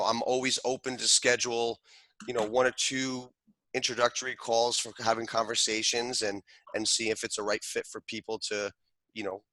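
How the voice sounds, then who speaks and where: A man's voice: mid-range voice, bright, forceful tone, dry recording, rough recording. A man, a martial arts grandmaster, speaking earnestly and reflectively as a guest in an interview, with frequent restarts. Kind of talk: interview conversation.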